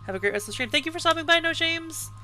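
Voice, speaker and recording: A woman's voice: very high voice, animated, very fast, pushed and bright, a little hiss or hum. A woman with a youthful baby voice chatting casually while streaming a game, laughing and reacting. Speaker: livestream host playing a game. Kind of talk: casual gaming commentary.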